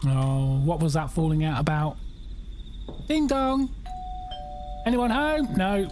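A young man with a croaky voice, chatting and joking through a horror game as a gaming YouTuber. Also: on the high side, slow, darkish tone, a little hiss or hum. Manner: chatty, jokey, reacting aloud. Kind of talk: casual let's-play commentary.

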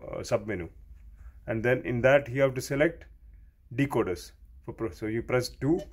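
A man giving hands-on technical training in a step-by-step, repetitive way, as a technician showing how equipment works. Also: mid-range voice. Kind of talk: hands-on instructional explanation.

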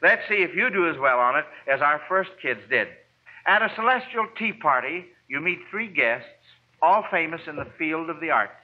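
A man's voice: on the high side, animated, normal speed. A man hosting a 1940s radio quiz show in an encouraging manner. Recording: muffled, noisy vintage radio recording.

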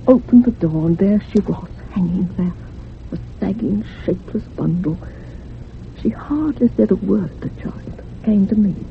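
An older housekeeper in a radio thriller, troubled and gossipy. Her creaky voice swings widely up and down as she rambles. The sound is thin and phone-like. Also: mid-range voice. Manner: rambling, dramatic, hushed at moments. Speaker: actor in a radio drama. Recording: muffled phone-like radio recording with hiss.

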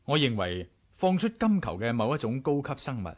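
An animated, confident adventurer. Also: male voice, mid-range voice, normal speed. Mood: probing, curious. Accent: Hong Kong Cantonese. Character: confident, inquisitive male adventurer hero. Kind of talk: 1980s radio drama acting.

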